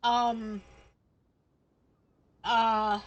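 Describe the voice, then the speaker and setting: A woman's voice: fairly high pitch, flat delivery, very slow, muffled recording. A woman livestreaming a game casually, answering in a playful, cheeky and blunt way. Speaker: game streamer. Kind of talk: casual livestream commentary, playing along with dialogue.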